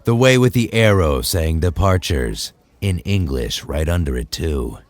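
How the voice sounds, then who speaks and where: A man playing a gruff, sardonic mutant tough guy in an audio drama, with gravelly, curt, deadpan delivery. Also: sits low, unhurried, no room sound.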